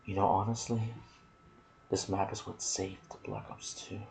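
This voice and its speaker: Low gravelly guy doing asmr gaming commentary, soft and half whispered, bit of background noise. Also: flat delivery, unhurried. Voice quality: soft, breathy, partly whispered. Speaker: gaming video creator and host. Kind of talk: ASMR gaming commentary mixed with casual chat.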